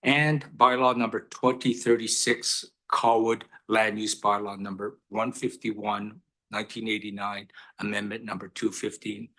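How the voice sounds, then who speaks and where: A man with a lowish, bright, forceful voice runs a council meeting as mayor. He moves briskly through the agenda items and procedure, adding a dry joke now and then. It sounds like a council chamber. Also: flat delivery, rough recording. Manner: brisk procedural chairing with light humor.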